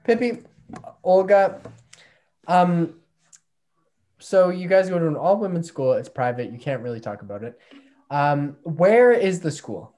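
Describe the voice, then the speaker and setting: A man hosting a comedy podcast, introducing guests and spinning long, deadpan, rambling riffs. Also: fairly high pitch, a little slow, long pauses. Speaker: podcast host.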